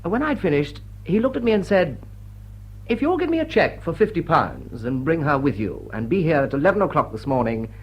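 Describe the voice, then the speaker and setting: An actor playing a smitten English aristocrat in a radio play, with an upper-class accent and an urbane, drily witty, tender manner. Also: male voice, a little high, very animated, brisk, slightly rough, exaggerated voice, rough recording. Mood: wry confessing. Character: smitten, wry English aristocrat lord wooing a woman. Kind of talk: British radio play acting.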